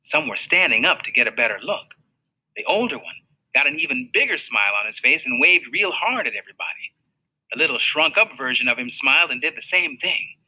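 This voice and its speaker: A man reading a children's novel aloud in an expressive storytelling manner. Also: mid-range voice, fairly quick, breathy edge, rough recording. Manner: expressive storytelling, voices for characters. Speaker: reader.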